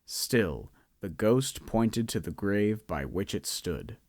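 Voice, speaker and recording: A young man narrating a radio drama in a measured, storytelling style. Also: on the low side, expressive, a little mellow, dry recording, clean studio sound. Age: young adult.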